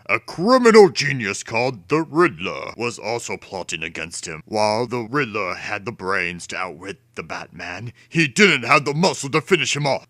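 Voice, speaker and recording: A man's voice: fairly low pitch, pushed and bright, no room sound, clean studio sound. A man giving a theatrical, over-emphatic dramatic reading of a children's storybook. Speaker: storybook reader.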